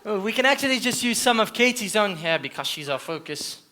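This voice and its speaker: A woman's voice: mid-range voice, lively intonation, brisk, pushed and bright. A young woman on a school stage playing an earnest, bookish occult mystic. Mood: planning, brisk. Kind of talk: school stage play acting. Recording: live school stage recording.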